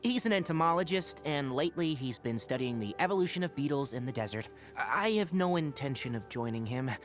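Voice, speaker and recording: Man voicing a lively, scholarly young fox-eared forest ranger. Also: fairly high pitch, fairly quick, faint music behind, close to an everyday voice. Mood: wry, measured. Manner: articulate, instructive, gently wry. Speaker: video game voice actor. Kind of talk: scripted game character voice lines.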